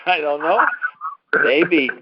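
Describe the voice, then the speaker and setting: A man's voice: fairly high pitch, slow, dry recording. A man hosting a testimonial call, speaking in a casual, folksy way with mild exclamations over a telephone line. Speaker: host of a testimonial conference call. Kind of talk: casual phone interview. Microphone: telephone conference line. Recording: muffled lo-fi conference call audio.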